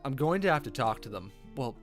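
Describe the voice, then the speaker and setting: A man's voice: mid-range voice, sing-song, brisk. A man reading visual novel text aloud as a streamer, dropping in wry, joking, sweary casual asides. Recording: background music under voice. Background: music with guitar.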